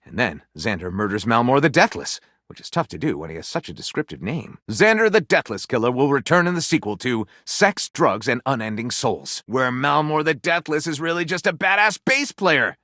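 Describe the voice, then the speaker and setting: A man's voice: mid-range voice, sing-song, normal speed, few pauses, pushed and bright, dry recording, clean studio sound. A man voicing a grandiose, lewd, self-satisfied storyteller character in a video game, with wry, sarcastic asides. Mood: dramatic narrating.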